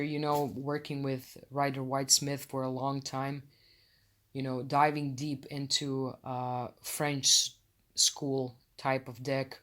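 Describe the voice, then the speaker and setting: A podcast host from Croatia with a Croatian accent, talking in a casual, effusive and friendly way, a little self-conscious about speaking English. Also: mid-range voice. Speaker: podcast host, tarot reader. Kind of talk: casual solo podcast chat.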